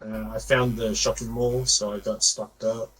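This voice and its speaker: Husky man giving short relaxed replies. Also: lowish voice, normal speed, low-quality recording. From outside the United States. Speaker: guest player. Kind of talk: casual game chat.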